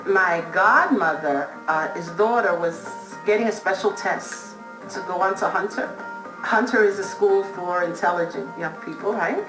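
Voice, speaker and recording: A woman's voice: on the low side, moderate pace. A woman vividly recounting a family story in a documentary interview. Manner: vivid storyteller, acts out quotes. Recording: roomy sound with music behind.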